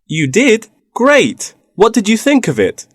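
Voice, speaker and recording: A man's voice: high voice, wildly swooping pitch, slow pace, no echo, studio quality, exaggerated voice. A young man playing an earnest university student in a scripted dialogue, eagerly explaining his research. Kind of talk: scripted listening-test dialogue.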